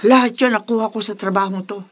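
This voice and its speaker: A woman's voice: mid-range voice, steady tone, quick pace, highs cut off, close to an everyday voice. A middle-aged Filipino woman playing a brash, greedy, bossy bar owner in a radio drama, scolding and boasting theatrically. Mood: boastful, goading. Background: background music.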